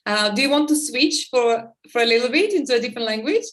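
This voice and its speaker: Woman podcast host, non-native English, chatty and upbeat, pitched a little high. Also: expressive, quick pace. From Czechoslovakia. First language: not English; similar to Czech. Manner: enthusiastic, chatty, frequent restarts. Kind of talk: casual interview chat.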